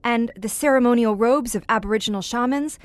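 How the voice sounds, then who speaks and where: A woman's voice: a little high, brisk, no echo, natural voice. An actress playing an inquisitive, educated woman in an audio horror drama, articulate and formal as she probes for answers. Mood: intrigued. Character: inquisitive, educated woman questioning a veteran monster hunter. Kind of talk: theatrical audio drama acting.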